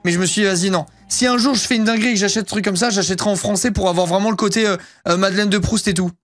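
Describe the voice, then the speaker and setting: A man's voice: high voice, steady tone, very fast, fairly bright tone, soft background music. A man in his thirties chatting casually as a streamer, rambling with plenty of fillers and crude slang. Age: 30s. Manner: rambling and slangy, swears freely, many fillers. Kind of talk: casual chat showing off purchases.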